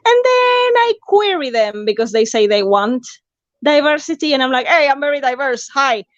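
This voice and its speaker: A woman's voice: sits high, lively intonation, moderate pace, dry recording, dull audio. A woman hosting a casual writers' chat on a video call, chatty and emphatic, laughing often. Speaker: host, writer.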